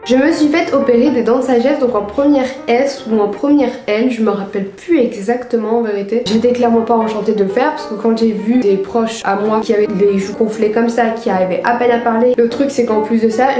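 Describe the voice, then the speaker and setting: High, soft-toned young French woman. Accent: French from France. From France. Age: young adult. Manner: informal, slangy, confiding. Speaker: video creator. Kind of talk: casual personal anecdotes to camera. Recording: roomy echo, music underneath.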